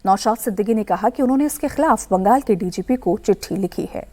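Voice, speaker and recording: A woman's voice: medium pitch, brisk, mellow. A woman reading a scripted news report as a voice-over.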